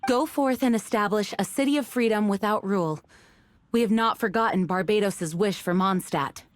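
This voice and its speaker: A woman's voice: mid-range voice, moderate pace, no room sound, lightly acted. An actress playing a dutiful female knight commander in a video game, formal and firm. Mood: resolute. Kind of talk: video game dub acting.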